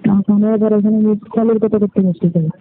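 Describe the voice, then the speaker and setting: A woman's voice: mid-range voice, steady tone, fast talker. A woman in a casual private phone conversation, answering with curt, teasing retorts. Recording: tinny phone line with noise.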